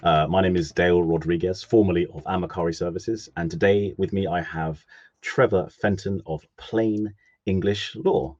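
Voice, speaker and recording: Deep-voiced man hosting casual chat. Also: fairly even intonation, normal speed, a little mellow, lo-fi sound. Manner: friendly, rambling, hesitant with fillers. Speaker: live stream host, interviewer. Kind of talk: casual interview questions.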